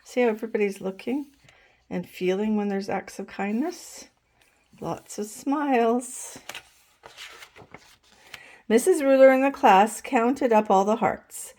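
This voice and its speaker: Female storytime reader, higher-pitched, unhurried, expressive delivery for small children. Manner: animated, deliberate, addressing young listeners. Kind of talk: expressive children's picture book read-aloud.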